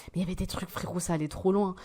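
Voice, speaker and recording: A woman's voice: on the low side, fairly even intonation, rapid-fire, dark tone. A woman in her twenties, rambling and swearing as she reacts to a video in casual slang. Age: 20s.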